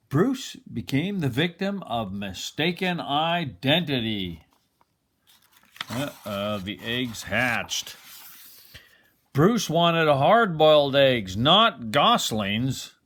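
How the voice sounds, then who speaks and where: An older man reading a children's picture book aloud expressively, like a grandfather, with dramatic pauses. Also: medium pitch, big pitch swings, fairly bright tone.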